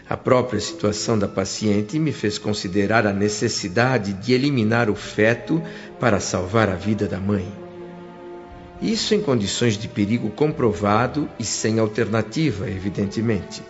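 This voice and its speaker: A man's voice: fairly low pitch, dull audio. A man playing a thoughtful, shaken doctor who narrates a radio drama in a solemn, reflective way. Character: shaken, thoughtful male doctor narrating his spiritual journey. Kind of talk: dramatized first-person narration.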